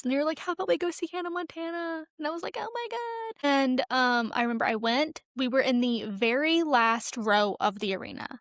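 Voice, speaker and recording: A high-pitched woman chats casually as a podcast co-host. She tells nostalgic anecdotes in an animated manner, slipping into quoted dialogue, and the sound is dry and close. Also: no room sound.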